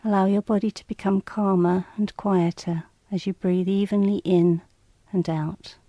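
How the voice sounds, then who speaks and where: A woman reading scripted guided relaxation instructions in a calm, measured, soothing and slightly clinical manner. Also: medium pitch, dry recording.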